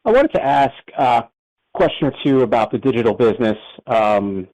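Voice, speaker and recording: A man's voice: medium pitch, animated, normal speed, darkish tone, low-quality recording. A man asking questions as a financial analyst on an earnings call, casual and a little tentative.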